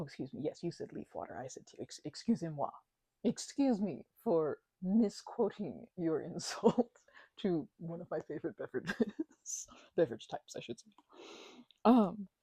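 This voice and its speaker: A woman's voice: fairly low pitch, very animated, moderate pace, mellow, husky, no room sound. A woman performing a one-sided ASMR roleplay as a chatty, affectionate housemate, talking casually in a playful, teasing, stammering way. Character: chatty affectionate female housemate recovering from migraine.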